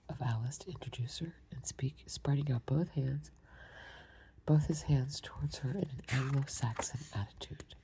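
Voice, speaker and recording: A woman reading a storybook aloud in a soft, breathy whisper. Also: very deep voice. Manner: soft unvoiced whisper throughout. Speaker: storybook reader.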